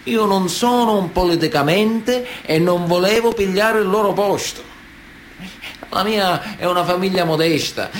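An actor playing an indignant, self-pitying Sicilian mafia defendant testifying in a radio play, protesting plaintively and emphatically with a Sicilian accent. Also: male voice, high-pitched, fairly bright tone. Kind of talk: dramatic radio theatre monologue. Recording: noticeable background noise.

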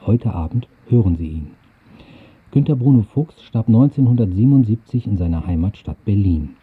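A man's voice: lowish voice, expressive, moderate pace, breathy edge, narrow, tinny audio. A man presenting on radio, reading a scripted introduction to an audio play.

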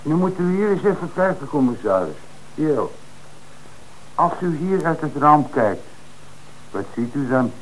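An actor playing an eager, insistent police detective in an old radio play, on a noisy old radio recording. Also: male voice, fairly high pitch, very animated, measured pace, long pauses. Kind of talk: 1960s radio play acting.

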